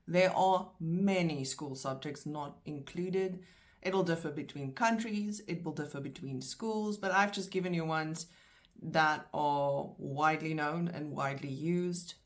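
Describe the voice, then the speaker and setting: A South African woman with a low voice works through a vocabulary list. She is middle-aged, speaks Afrikaans as her first language, and says each word slowly and distinctly before translating it. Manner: slow, clear word-by-word pronunciation. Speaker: language teacher. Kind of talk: vocabulary lesson.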